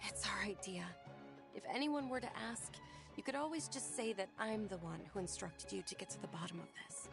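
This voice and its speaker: Actress as a composed, gentle young noble lady. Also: mid-range voice, vocal fry, close to an everyday voice. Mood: reassuring, determined. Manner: polite, measured. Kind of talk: animated video game acting. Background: music.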